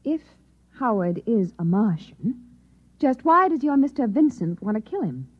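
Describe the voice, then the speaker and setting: An actress playing a skeptical, weary housewife in an old-time radio drama, dry and exasperated. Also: female voice, on the high side, big pitch swings, a little slow. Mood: weary, sarcastic. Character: skeptical, weary housewife doubting a wild tale. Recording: dull old radio recording.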